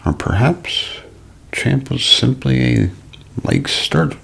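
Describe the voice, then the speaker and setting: Low husky guy doing slow asmr mystery narration, super crackly, kinda whispery and dramatic. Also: expressive, sharp tone. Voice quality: gravelly, husky, breaking into whisper.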